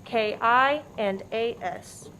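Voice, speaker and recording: A woman acts a tough diner gal in a farcical radio drama. She is blunt and commanding in a fairly high voice, with audible noise behind her. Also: slow, fairly bright tone, low-quality recording. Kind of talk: comic stage radio play acting.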